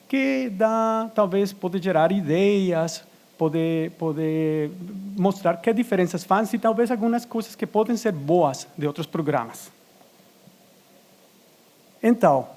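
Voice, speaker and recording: Guy with a pretty high voice doing a conference talk, kinda halting, lots of restarts and uhs. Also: darkish tone. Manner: halting, with frequent restarts and fillers in a second language. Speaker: conference speaker. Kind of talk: conference presentation. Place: conference stage.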